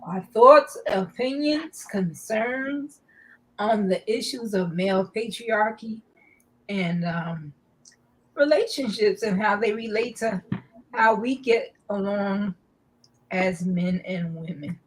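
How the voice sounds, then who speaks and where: A woman hosting a live online talk show, slow and halting with many fillers, her nose stuffy. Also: medium pitch, rough recording. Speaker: host.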